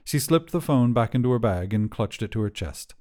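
A man narrates a short story in a mellow, soft-edged voice of medium pitch. His reading is scripted and expressive, with lively rises and falls in intonation. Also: brisk.

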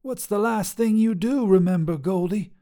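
A man's voice: high-pitched, normal speed, dark tone, voice pushed up. A man voicing a wiry, mocking woman trucker who turns out to be a demon, with a drawling, teasing, folksy manner. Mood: sly teasing.

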